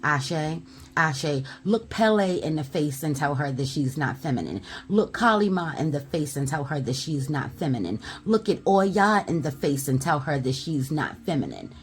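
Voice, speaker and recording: A woman giving a spiritual tarot message in a loud, emphatic voice, blunt and at times swearing, punctuating her points with repeated affirmations. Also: low voice, sing-song, moderate pace. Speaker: tarot reader.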